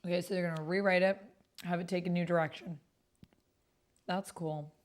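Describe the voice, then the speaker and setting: A woman with a slightly low voice hosts a casual livestream. She moves between reading messages and prompts aloud and commenting on them in a chatty, conversational way. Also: fairly even intonation, moderate pace. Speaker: livestream host and tabletop roleplay player.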